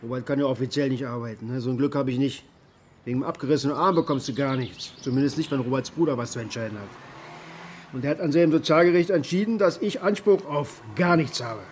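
An actor playing a bitter, middle-aged former security contractor in a naturalistic radio drama, gruff, cynical and colloquial. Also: male voice, medium pitch, quick pace, slight background noise, natural voice.

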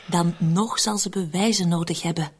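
An actress playing a wary, calculating murder plotter in a radio drama, probing and sceptical in measured speech. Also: female voice, on the low side, fairly even intonation, husky.

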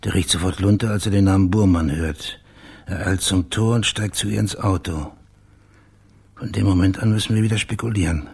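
Dogged male investigator for radio crime drama: very raspy, low, terse, pressing. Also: moderate pace, darkish tone, full character voice. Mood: brisk reasoning. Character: dogged, blunt male investigator questioning witnesses and reasoning out a murder. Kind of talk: naturalistic German radio crime drama acting.

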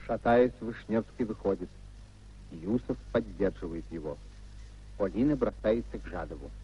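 A man's voice: on the low side, moderate pace, warm tone, slightly rough. A man giving scripted narration of the stage action in a 1950s radio play. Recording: muffled old radio theatre recording.

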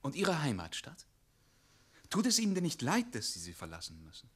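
An actor in a radio play playing a resolute, earnest wandering hero who challenges others with intensity. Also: male voice, mid-range voice, wildly swooping pitch, a little fast, husky. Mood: earnest, probing. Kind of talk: German radio play acting.

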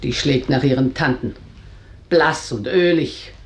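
Stern, domineering widowed matriarch, roomy sound. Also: female voice, rumbling low, unhurried. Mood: bitter, haughty. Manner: commanding, curt, haughty. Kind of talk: German radio drama acting.

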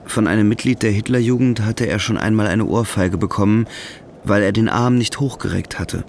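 Man, scripted drama narration, low pitch, gravelly fry, faint music. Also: moderate pace, a little mellow, slight background noise.

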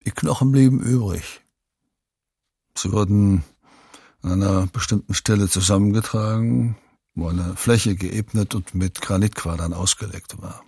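A man's voice: deep voice, unhurried, gravelly, warm tone, slightly rough, no echo, studio quality, heavily acted. An actor playing a sombre male witness who recounts atrocities in a factual, restrained way in a documentary radio play. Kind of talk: sober testimony, read dramatically.

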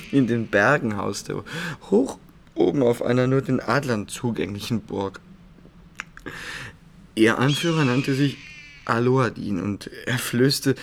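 Giddy, intoxicated, stammering singer. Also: male voice, mid-range voice, gravelly, a little hiss or hum. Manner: stammering, repeats words, breaks into giggles. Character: young intoxicated singer, giggly, confiding, enraptured storyteller. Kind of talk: German radio play acting.

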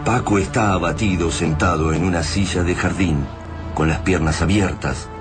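Deep-voiced Argentine man narrating radio drama. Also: normal speed, music in the background. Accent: Argentine, Rioplatense.

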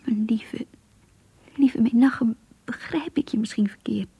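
An actress playing an anguished, lovesick young woman confiding in her diary in a radio play, hushed and intimate. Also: fairly high pitch, unhurried, no echo, heavily acted. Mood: anguished, pleading. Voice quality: breathy, hushed, whispery. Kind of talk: Dutch radio play monologue.

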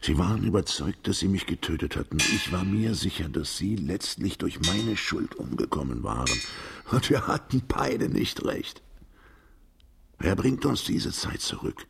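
An actor in a literary radio drama playing a world-weary, fatalistic writer who speaks in a deliberate, formal, philosophical way with a gravelly, husky voice. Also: male voice, low-pitched, lively intonation, background noise, heavily acted. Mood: melancholy. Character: world-weary, fatalistic male writer, once left for dead, brooding and enigmatic.

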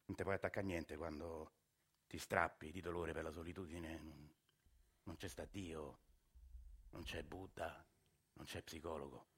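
A man's voice: rumbling low, steady tone, unhurried, pauses often, breathy edge. Bitter man in a stage monologue. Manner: bitter, fading to a hush. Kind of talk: dramatic monologue.

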